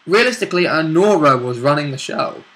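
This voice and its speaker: A man's voice: on the high side, animated, fairly quick, pushed and bright, low-quality recording. A man who plays in a tabletop campaign, talking it over in casual chat. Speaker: player in the roleplay campaign.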